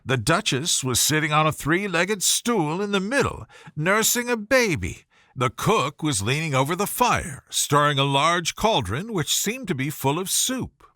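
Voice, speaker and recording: Man narrating a storybook, lively pitch swings. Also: no echo, studio quality. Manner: measured, expressive bedtime storytelling. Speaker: audiobook reader.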